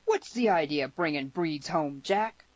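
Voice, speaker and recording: A woman's voice: low-pitched, lively intonation, moderate pace, a little mellow. A woman voicing a big, hostile cowboy in a western story, brash and barking. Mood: outraged. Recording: dull, roomy sound.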